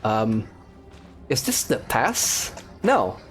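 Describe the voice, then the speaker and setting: A woman's voice: rumbling low, very animated, very slow. A woman giving casual gameplay commentary, reacting aloud in a wry way. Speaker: game streamer, player. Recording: faint game music behind.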